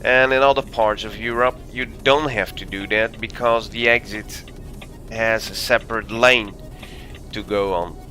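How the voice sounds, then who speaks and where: Guy, on his english, bright tone, talking slow while he drives in a game, lots of uhs. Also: fairly low pitch, steady tone, faint music behind. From Belgium. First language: Dutch. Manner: thinking aloud, easygoing, frequent ums and uhs. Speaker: game streamer. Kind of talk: live gameplay commentary.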